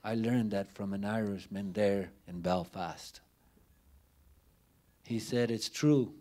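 Slow, low-voiced middle-aged man. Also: fairly even intonation, mellow. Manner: deliberate, halting delivery with short phrases and pauses. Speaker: panelist speaking at a community forum. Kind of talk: unscripted public speech, personal storytelling. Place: indoor forum room, before a banner. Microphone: handheld microphone held near the mouth.

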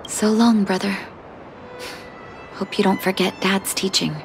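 An actress playing a gentle, sorrowful woman doctor in a video game, soft and breathy at times, measured and reflective, with music behind her. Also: medium pitch, level tone. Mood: wistful, resolved. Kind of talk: scripted game dialogue.

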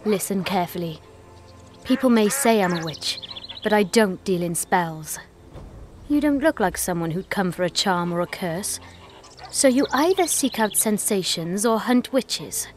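A woman plays a proud, secretive herbalist in a fantasy game. She speaks coolly and assertively at a medium pitch, with faint music behind. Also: expressive, a little slow, close to an everyday voice. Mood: guarded, haughty. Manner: cool, assertive. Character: guarded, proud herbalist woman rumoured a witch. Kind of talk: dramatic video game acting.

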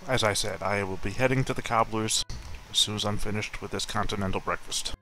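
A man's voice: on the low side, a little fast, pushed and bright, slight background noise, poor audio. A man playing a tabletop horror game, speaking in a dry, matter-of-fact way. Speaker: player. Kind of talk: in-character tabletop play.

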